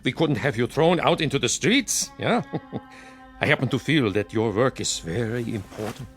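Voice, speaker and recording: An actor playing a sinister, courtly mentor in a radio drama, suave, deliberate and faintly condescending. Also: male voice, medium pitch, animated, a bit bright, faint music behind, lightly acted. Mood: sly, insinuating.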